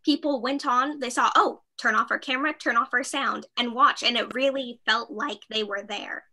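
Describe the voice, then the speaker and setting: A woman with a high, very bright voice talks casually as part of a panel. She is chatty and slips into quoting thoughts and reactions as she explains things. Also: steady tone, moderate pace. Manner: chatty, acts out thoughts and reactions in quoted speech. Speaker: stage actor.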